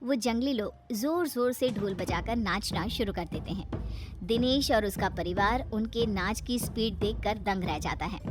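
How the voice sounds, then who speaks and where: A woman's voice: medium pitch, expressive, measured pace, noisy background. A woman narrator reading a scripted cartoon story.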